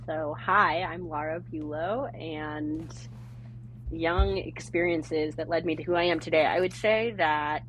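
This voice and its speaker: A woman's voice: low voice, steady tone, measured pace, fairly bright tone, a little hiss or hum, rough recording. A woman raised in the San Francisco Bay Area, chatting as a podcast guest and laughing often between fillers. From born in Germany, raised in the San Francisco Bay Area. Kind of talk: casual interview conversation.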